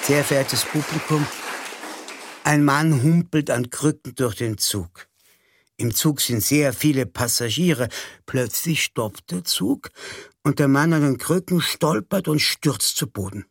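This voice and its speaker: A man's voice: on the high side, measured pace, a faint crowd, a little hiss or hum. A man playing a courteous, droll announcer in a radio play, formal and wry in manner. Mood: dry, deadpan. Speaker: presenter.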